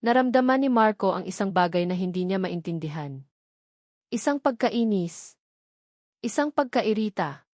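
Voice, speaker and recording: A woman with a Filipino accent narrating a scripted romantic drama in a measured, dramatic way with emphatic short phrases. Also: mid-range voice, a little mellow, no echo, clean studio sound.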